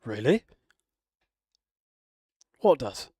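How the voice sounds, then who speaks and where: A man's voice: mid-range voice, very animated, extremely slow, dark tone, breathy edge, no echo, clean studio sound. A man chatting casually on a live stream, rambling and answering viewer comments. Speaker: live streamer reacting to chat. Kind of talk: casual chat.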